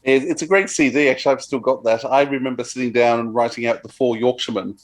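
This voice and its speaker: A man's voice: medium pitch, fairly even intonation, fast. A man hosting a podcast interview, friendly, with stumbling restarts, over a remote call. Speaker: podcast host. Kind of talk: casual interview chat.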